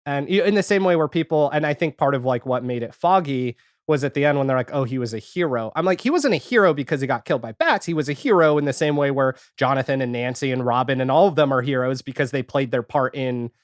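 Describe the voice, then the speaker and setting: A man in a casual, animated pop-culture chat as a podcast guest, talking informally and acting out imagined remarks. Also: medium pitch, fast, few pauses, dry recording, clean studio sound.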